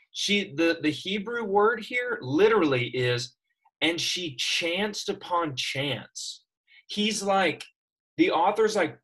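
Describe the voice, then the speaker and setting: A man's voice: on the high side, slightly rough. A man teaching a classroom Bible lesson, asking his students questions as he explains. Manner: animated, asks students questions.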